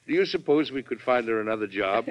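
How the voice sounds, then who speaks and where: An actor playing a plain-spoken, resolute American president in an old radio drama, folksy and deliberate, with an American accent. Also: male voice, mid-range voice, lively intonation, lightly acted. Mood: amused, folksy. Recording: dull lo-fi vintage radio recording.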